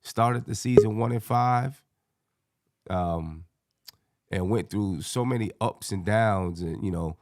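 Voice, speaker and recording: A man with a hoarse, scratchy voice hosting a casual sports fan panel in slangy, colloquial talk. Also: lowish voice, slow pace, darkish tone, no echo, studio quality.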